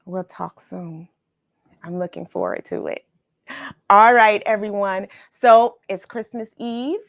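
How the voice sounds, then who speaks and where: A woman hosting a read-along, speaking in a measured, expressive storyteller way as she reads and chats to her audience. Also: medium pitch, dry recording, highs cut off. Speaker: host of a read-along show. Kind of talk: expressive storybook reading and friendly live chat with viewers.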